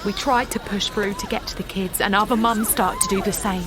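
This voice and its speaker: A woman's voice: medium pitch, fairly quick, over music, lightly acted. An actress playing an anxious young working-class mother, narrating and speaking colloquially in an audio drama. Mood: distressed. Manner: emotive, colloquial. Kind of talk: audio drama acting and first-person narration. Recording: outdoor crowd noise behind.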